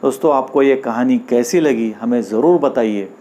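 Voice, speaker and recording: A man narrating a scripted moral story as host, in deliberate phrases with pauses between them. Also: mid-range voice, warm tone.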